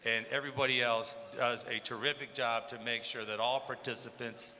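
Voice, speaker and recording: A man's voice: mid-range voice, steady tone, normal speed, pushed and bright. A man from Southern California, a commission chairman, giving an upbeat civic speech at a press conference. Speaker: state athletic commission chairman. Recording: reverberant hall with faint background noise.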